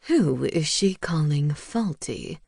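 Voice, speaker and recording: A smug little male owl, vain and sardonic, his lines pitched lower and slower than the narration around him, as a woman puts him on for a storybook. He sounds dry and unbothered, with the recording tight and close. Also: vocal fry, slightly rough, no echo, exaggerated voice. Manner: dry, deadpan and self-satisfied.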